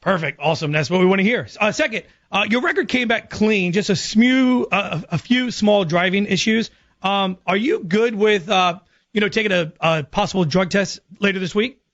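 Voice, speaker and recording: A man's voice: high-pitched, moderate pace, fairly bright tone. A man hosting a radio show, talking in a casual, chatty style. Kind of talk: casual radio banter and prank calling. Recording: slightly muffled lo-fi audio.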